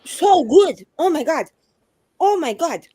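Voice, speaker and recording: A woman's voice: very high-pitched, very animated, slow pace, mellow, no room sound, voice pushed up, full character voice. A woman playing a tipsy, indignant young woman, ranting with sarcasm and swearing in a tabletop game. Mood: delighted.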